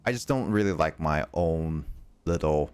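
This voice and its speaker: A young American man streaming games, talking casually in halting, rambling bursts full of fillers and restarts. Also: rumbling low, expressive, darkish tone, clear, resonant tone, no room sound. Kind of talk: casual chat while gaming.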